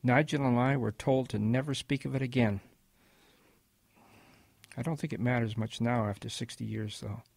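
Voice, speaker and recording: Man playing old grandfather, husky, dry close sound, wistful, gentle, reflective, audio drama storytelling. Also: mid-range voice, fairly even intonation, normal speed, long pauses, no room sound. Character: wistful old grandfather recounting his wartime boyhood.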